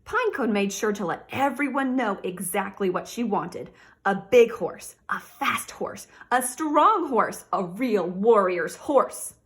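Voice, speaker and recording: A woman with an animated, swooping voice and a middle pitch speaks as a teacher to young children. She reads storybook style and chats directly to her listeners as she goes. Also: slow pace. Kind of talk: children's read-aloud with comments and questions.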